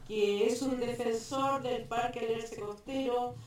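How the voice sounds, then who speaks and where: A woman moderating a forum, introducing the next speaker slowly and formally. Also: a little high, fairly even intonation. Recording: echoey hall, mic far off, faint noise.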